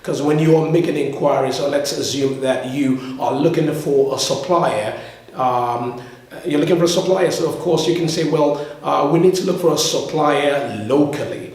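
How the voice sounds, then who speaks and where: A man's voice: on the high side, darkish tone. Echoey male English teacher. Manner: didactic, repeats key words slowly for learners to echo. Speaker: English teacher and video host. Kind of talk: language lesson to camera. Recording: very echoey, reverberant room.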